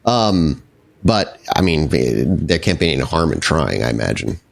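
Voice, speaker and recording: A man's voice: a bass voice, sing-song, moderate pace, vocal fry. A man working as storyteller of a tabletop role-playing game, improvising narration and explaining the rules descriptively.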